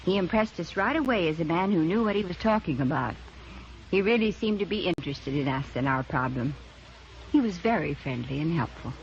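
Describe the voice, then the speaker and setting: A woman's voice: lowish voice, expressive, fairly quick. A woman playing a satisfied, earnest American housewife giving a neatly spoken testimonial in an old radio commercial. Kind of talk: 1940s-50s scripted radio commercial. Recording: muffled old radio recording, faint hiss.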